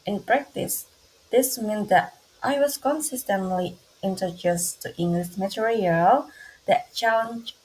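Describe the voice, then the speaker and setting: A young woman with an Indonesian accent presents a class report. She reads from her notes at a slow, deliberate pace, and her voice is soft and a little breathy. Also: medium pitch, low-quality recording. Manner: slow, careful reading with grammar slips. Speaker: student presenter. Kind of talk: reading a class presentation aloud.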